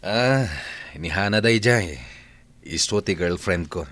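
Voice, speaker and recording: An actor playing an offhand, stubborn young village philanderer in a radio drama. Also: male voice, low-pitched, slow, fairly bright tone, no echo. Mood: defiant. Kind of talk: Ilocano radio drama acting.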